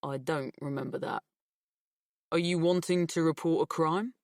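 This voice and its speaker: A woman voicing a polite, guarded young male police officer in an audiobook, in a measured, official way. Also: low-pitched, a little mellow, no echo, clean studio sound.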